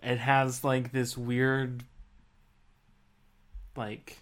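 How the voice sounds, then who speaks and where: A man with a medium-pitched voice in casual podcast conversation. He talks about video games in a laid-back, slightly hesitant way, piecing his thoughts together as he goes. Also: little pitch movement, very slow, a bit bright. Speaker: podcast co-host. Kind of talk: casual video game chat.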